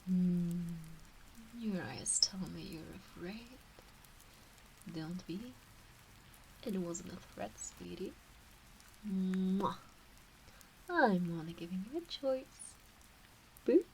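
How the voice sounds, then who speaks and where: Woman doing a doting kitsune witch asmr, slow n breathy w some creak, teasing, whispering up close. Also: fairly low pitch, animated, slight background noise, rough recording. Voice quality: breathy, soft, often slipping into whisper. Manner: slow, coaxing, teasing baby-talk. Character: teasing, doting kitsune fox witch fussing over her pet. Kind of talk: ASMR roleplay, whispery and intimate. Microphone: close ASMR microphone.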